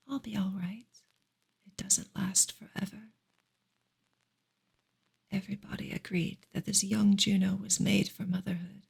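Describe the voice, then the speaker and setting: A woman reading a novel aloud as soft-spoken ASMR, in a hushed, breathy voice that often drops to a whisper. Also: mid-range voice.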